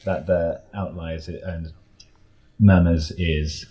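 A man with an extremely deep voice chats as an interview guest. His tone is dark and husky, and his style is casual. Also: level tone, slow. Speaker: interview guest, audio drama creator.

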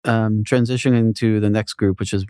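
A man hosting a question-and-answer interview, with a very low voice. He reads out numbered questions and fills the gaps with short affirming words. The sound is dry and close. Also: fairly even intonation, normal speed, warm tone, clean tone, dry recording. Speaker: podcast host.

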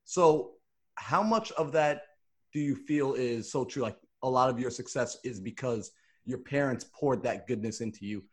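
A man's voice: mid-range voice, normal speed. Man, late twenties, interview host, laid-back, chatty rambling questions. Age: 20s. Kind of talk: casual podcast interview.

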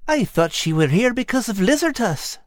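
A man's voice: high-pitched, sing-song, moderate pace, dry recording, studio quality, pitched above the performer's own voice. A man voicing a goofy, gluttonous male orange cat with a whiny, childlike manner. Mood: earnest. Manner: whiny, childlike, dim but good-hearted.

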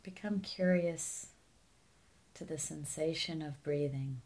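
A woman leading a guided meditation as a teacher, speaking in a calm, soothing, unhurried way with softly trailing phrase endings. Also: low-pitched.

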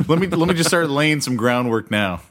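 A man co-hosting a podcast, telling stories in animated casual banter and laughing as he talks. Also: a little high, brisk, slight background noise. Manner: animated storyteller, laughs while talking. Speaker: podcast co-host.

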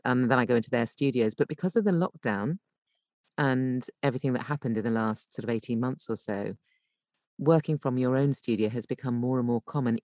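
A British woman talking conversationally as an interview guest, explaining things in an animated, emphatic way from a home voice booth. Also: very deep voice, no room sound, clean studio sound. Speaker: podcast guest, voice actor and coach.

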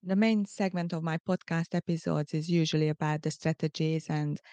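A middle-aged woman with a Hungarian accent, hosting a solo podcast in a measured, earnest, explanatory way. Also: sits low, fairly even intonation, warm tone, no echo. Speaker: podcast host. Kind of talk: solo podcast monologue, semi-scripted.

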